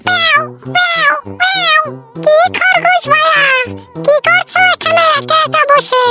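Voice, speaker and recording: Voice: very high voice, steady tone, unhurried, voice pushed up. A performer voicing a cheerful, bold little bird sister in a children's cartoon, chirpy and coaxing. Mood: playful. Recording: loud children's music behind.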